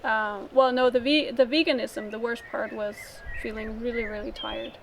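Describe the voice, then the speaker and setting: Interviewee, woman from Denmark, slightly high voice; casual, halting storytelling; noisy outdoor take. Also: steady tone, gravelly. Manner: halting, thinks aloud.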